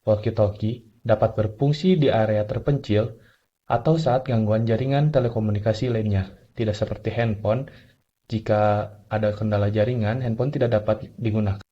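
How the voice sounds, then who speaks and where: A young man reads his presentation points one by one as a list, with small stumbles. His voice is husky and mellow. The sound is dull and lo-fi. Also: on the low side, fairly even intonation, moderate pace. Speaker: student presenter. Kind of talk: reading a class presentation.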